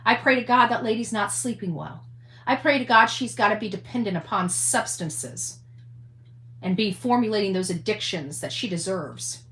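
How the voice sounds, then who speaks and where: A woman on a casual rant, speaking in a halting, repetitive, emphatic way. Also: medium pitch, fairly bright tone. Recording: echoey room sound.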